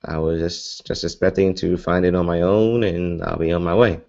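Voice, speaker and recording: A man's voice: low-pitched, steady tone, moderate pace, creaky, a little mellow, highs cut off. A young American man chatting casually in a live Q&A vlog, rambling with many fillers and restarts. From United States. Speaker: vlogger.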